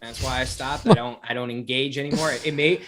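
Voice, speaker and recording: A man with a New England accent co-hosting a casual podcast chat, speaking in a halting way and often repeating words. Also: medium pitch, fairly even intonation, fairly bright tone, slight background noise. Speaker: podcast co-host.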